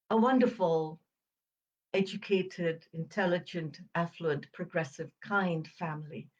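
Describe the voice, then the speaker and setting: Female, 70s, upper-crust British. Slow, reflective storyteller, precise diction, pauses between phrases, slightly muffled recording. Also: fairly low pitch, a little mellow. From Uganda. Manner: crisp enunciation, measured phrasing with deliberate pauses. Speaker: podcast host. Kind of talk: reflective personal storytelling monologue.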